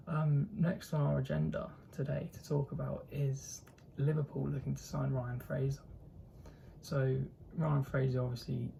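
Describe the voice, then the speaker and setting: A man's voice: medium pitch, creaky, dark tone, slight background noise, low-quality recording. A young man with a posh southern English accent hosting his own football channel, talking casually and hesitantly with lots of fillers and restarts. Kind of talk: casual solo commentary.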